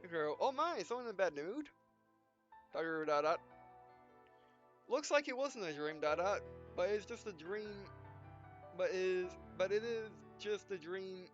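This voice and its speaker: A man doing a casual, halting read-aloud of a visual novel for a gameplay video, speaking punctuation and speaker tags as he goes. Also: high voice, lively intonation. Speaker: let's player reading visual novel text aloud. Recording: dull, lo-fi sound with music behind. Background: game music.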